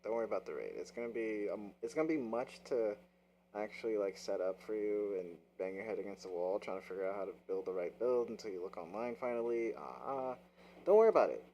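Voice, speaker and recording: A man's voice: on the low side, fast talker, warm tone, slight background noise, low-quality recording. A man streaming, chatting casually over a game, brash and profane, repeating himself as he talks. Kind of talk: casual gaming chat. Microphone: game controller mic.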